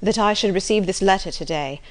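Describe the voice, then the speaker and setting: A woman voicing a grave, guarded gentleman colonel in a storybook, speaking with formal politeness. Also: medium pitch, fairly quick, close to an everyday voice. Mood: regretful. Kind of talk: acted storybook dialogue.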